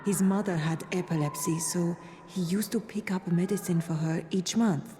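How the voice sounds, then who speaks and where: A woman playing a worried, discreet medic in a game cutscene, speaking in a hushed, measured, confiding way. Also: on the low side, lightly acted. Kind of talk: dramatic game cutscene acting. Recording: faint background noise.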